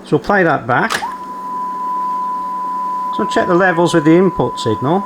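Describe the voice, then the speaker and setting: A man's voice: on the high side, normal speed. A man hosting a repair video, thinking aloud step by step as he gives hands-on technical commentary. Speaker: repair channel host. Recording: clearly noisy, steady hum behind.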